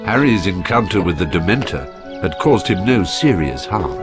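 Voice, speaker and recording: A deep-voiced narrator tells a storybook wizard tale for a video game. His scripted delivery is animated, with prominent music underneath. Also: normal speed. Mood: storytelling.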